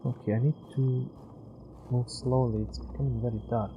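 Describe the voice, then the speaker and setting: A man's voice: mid-range voice, steady tone, normal speed, highs cut off, a little hiss or hum. A man doing casual live game commentary as a streamer, chatting with viewers and rambling as he thinks aloud.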